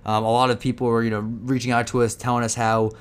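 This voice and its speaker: A man's voice: medium pitch, flat delivery, fast, smooth. A man co-hosting a casual podcast, loose and joking, introducing the episode's topic. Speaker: podcast co-host. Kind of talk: casual chat.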